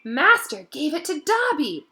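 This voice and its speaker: Shrill little storybook elf female reader, high, forceful, excitable, devoted. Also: measured pace, full character voice. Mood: awestruck. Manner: speaks of self in third person, emphatic. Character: small male house elf, excitable, devoted, awestruck.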